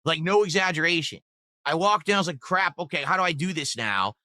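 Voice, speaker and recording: A man's voice: fairly high pitch, a little fast, pushed and bright, no echo. A man talking casually on his livestream, rambling defensively and reading out viewer tips. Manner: rambling, defensive, self-deprecating. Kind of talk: casual stream talk.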